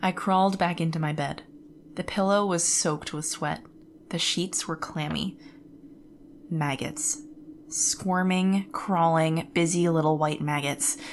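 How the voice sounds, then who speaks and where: A woman giving a dramatic storybook reading as a teenage girl haunted by a gruesome nightmare, grave and deliberate. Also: sits low, fairly even intonation, a little hiss or hum. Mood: grave, disgusted. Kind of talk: dramatic reading of a horror passage.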